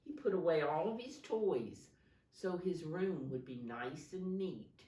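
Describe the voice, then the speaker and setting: A woman reading a storybook aloud to young children as a teacher, pausing to explain it simply and ask questions in a deliberate, instructive way. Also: sits low, animated, mellow. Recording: roomy echo, distant microphone.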